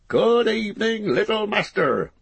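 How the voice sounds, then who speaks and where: A man's voice: sits high, sing-song, measured pace, gravelly, breathy edge, no room sound, voice pushed up, heavily acted. A man voicing a fat, harried, talkative innkeeper in an audiobook, chattering breathlessly in rustic, folksy phrases. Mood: bustling, hearty. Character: fat, bustling, harried, talkative middle-aged male innkeeper. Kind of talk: audiobook character voice.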